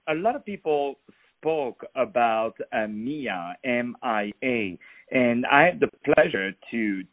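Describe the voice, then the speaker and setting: A man from France hosts a radio interview in a mid-pitched voice, heaping praise on his guest. The sound is thin and tinny, like a phone line. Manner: effusive, full of praise. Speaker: radio host.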